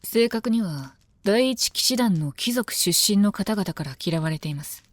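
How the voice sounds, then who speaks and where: A woman playing a composed, devoted female knight of common birth in a Japanese voice drama, speaking calmly, politely and softly. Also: mid-range voice, unhurried, dry recording. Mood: resigned, composed. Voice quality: calm, composed. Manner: polite, deferential, soft-spoken. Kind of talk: Japanese voice drama, intimate scripted dialogue.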